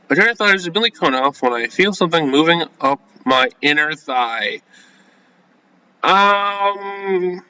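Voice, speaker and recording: A man hosting a gaming video, reading a visual novel aloud and breaking off into flustered, halting, slangy reactions. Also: a little high, pushed and bright, poor audio. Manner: flustered, halting, self-censoring with casual slang.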